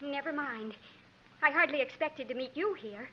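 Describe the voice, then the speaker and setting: Casting: woman playing feisty frontier lady, high voice, haughty and formal, vintage radio drama, muffled recording. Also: normal speed, lightly acted. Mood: wary. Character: proud, suspicious, feisty young gentlewoman of frontier days. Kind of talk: 1950s radio acting. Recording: dull 1950s radio recording.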